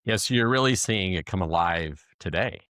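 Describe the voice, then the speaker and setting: American male interviewer, deep voice, conversational podcast hosting, dry studio-like sound. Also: animated, moderate pace, a bit bright, smooth, no echo. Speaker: podcast host.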